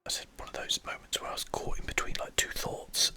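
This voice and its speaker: Whispering ASMR gamer, hushed and breathy. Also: fairly quick. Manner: casual, self-deprecating, mildly sweary. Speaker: gaming channel host. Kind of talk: whispered ASMR game commentary.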